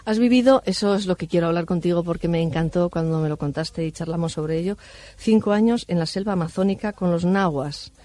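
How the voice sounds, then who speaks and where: A woman hosting a night radio show, asking gentle probing questions. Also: fairly low pitch, fairly quick. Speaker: radio host.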